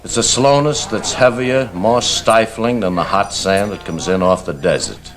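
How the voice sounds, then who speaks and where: A man narrating a Western drama in a grave, foreboding manner, measured and emphatic. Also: fairly low pitch. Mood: ominous.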